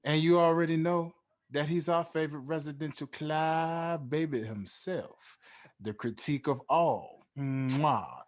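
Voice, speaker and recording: A man hosting a casual podcast, talking in a smooth, laid-back radio-style way and often adding "you know what I'm saying.". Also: a little high, unhurried. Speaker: podcast host. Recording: music playing underneath.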